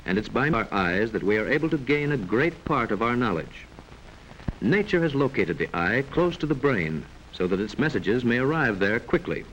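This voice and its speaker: A man narrating a 1940s educational film in a formal, measured, instructive way, on a vintage film soundtrack. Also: medium pitch, muffled recording, a little hiss or hum.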